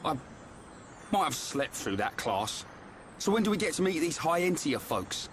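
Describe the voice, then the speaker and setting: An actor playing a brash, slow-witted, good-natured young man in a video game, talking bluntly and informally. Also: on the high side, big pitch swings, fairly bright tone, slight background noise. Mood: sheepish, upbeat. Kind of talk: video game cutscene acting.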